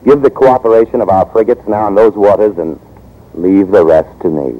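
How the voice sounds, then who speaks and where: An actor playing a bold, headstrong young American consul in old-time radio drama, cocky, forceful and plain-spoken, with an American accent. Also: male voice, lowish voice, normal speed, close to an everyday voice. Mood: eager, insistent. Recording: dull vintage radio recording.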